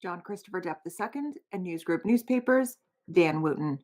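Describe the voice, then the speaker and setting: A woman reads a formal written statement aloud as a voiceover. Her voice sits a little lower than most women's. The delivery is that of someone reading a legal document word for word. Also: expressive, normal speed, a little mellow, soft background music. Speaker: voiceover reader.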